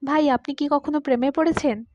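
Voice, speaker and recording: A courteous, talkative man of about forty in an audiobook, read by a woman, confiding and wistful. The voice sits high, and the sound is dry and free of echo. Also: steady tone, fairly quick, a little mellow, highs cut off, close to an everyday voice. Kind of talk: audiobook character dialogue.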